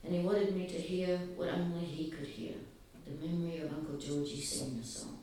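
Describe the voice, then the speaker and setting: A soft-spoken man giving an earnest, halting public talk, often pausing. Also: a little high, fairly even intonation, a little hiss or hum. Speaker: speaker at a gathering. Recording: very echoey, distant, faint ambience.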